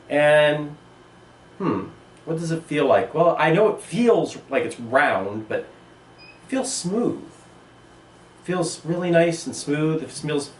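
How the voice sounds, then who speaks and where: A man, an art teacher, giving an enthusiastic video lesson in a room. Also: on the high side. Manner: animated, enthusiastic explaining. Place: indoor room with a table and a sandstone wall. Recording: roomy, distant mic.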